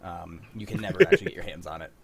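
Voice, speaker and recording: A man's voice: low voice, sing-song, rapid-fire, gravelly, a crowd in the background. A man hosting a livestream, chatting casually in slangy, enthusiastic pop-culture fan talk. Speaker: host of a livestream script-reading show. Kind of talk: casual chat.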